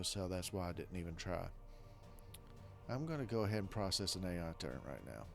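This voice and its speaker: A man with a hoarse, rough voice from a weekend of coughing, talking casually and haltingly through a strategy game. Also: low-pitched. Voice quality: rough-sounding voice. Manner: rambling, hesitant, many restarts. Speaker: strategy game video creator. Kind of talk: casual gameplay commentary.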